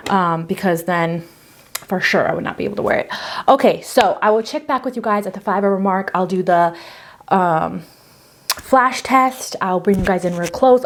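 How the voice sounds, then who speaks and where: A woman in her thirties talks through her makeup in an easygoing, conversational way. Her voice sits at an ordinary middle pitch, and her delivery is loose and breezy, full of fillers and little asides. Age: 30s. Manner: chatty, filler-heavy, breezy. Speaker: beauty vlogger. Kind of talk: casual product review.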